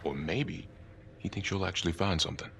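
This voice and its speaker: A man's voice: sits low, fairly even intonation, a little fast, gravelly, fairly bright tone, slight background noise. A man playing a frank, terse police detective, an exasperated but loyal partner in a crime thriller game. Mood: earnest, defensive. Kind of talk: cinematic crime game acting.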